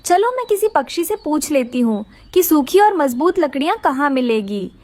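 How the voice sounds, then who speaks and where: A woman's voice: high voice, big pitch swings, normal speed, darkish tone, no echo. A woman voicing an earnest, plaintive young female bird in a children's cartoon. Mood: polite, earnest. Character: distressed, hardworking, polite young female bird. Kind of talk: Hindi children's cartoon character voice.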